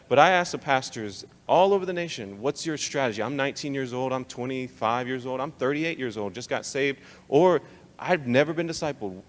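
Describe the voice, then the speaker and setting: A young American man giving an informal, emphatic live talk to church leaders, conversational and slangy, often pressing rhetorical questions. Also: mid-range voice. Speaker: speaker leading a church leadership training session. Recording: live talk in a reverberant hall.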